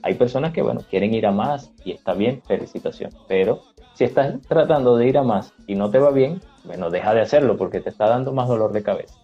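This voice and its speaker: A man's voice: mid-range voice, animated, fast, few pauses, husky. A man, a teacher hosting a live stream who gives practical advice to learners. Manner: advising, reads viewer comments aloud. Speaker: host, Spanish teacher. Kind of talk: casual chat on a live stream. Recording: dull lo-fi stream audio, faint music.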